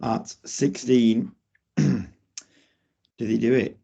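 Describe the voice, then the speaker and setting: A man on a casual football draft panel who is losing his voice and keeps clearing his throat. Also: medium pitch, steady tone, extremely slow, dark tone. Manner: clears throat often, upbeat. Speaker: draft analyst, co-host. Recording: dull lo-fi audio.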